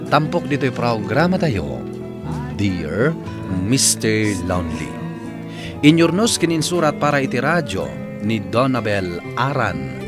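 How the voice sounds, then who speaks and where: A man with an Ilocano accent reading a letter and the credits as the radio drama host, earnest and moralizing. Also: mid-range voice. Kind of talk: scripted radio narration and credits. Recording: AM radio broadcast over loud guitar music. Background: loud guitar music.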